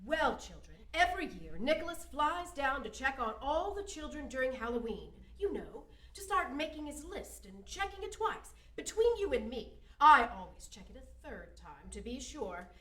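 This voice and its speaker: Actress as theatrical older Santa's wife, motherly, high-pitched, radio play, distant roomy recording. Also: very animated, pushed and bright, full character voice. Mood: confiding. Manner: storytelling, measured, fond of children. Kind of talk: amateur radio drama acting. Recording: roomy echo, off mic.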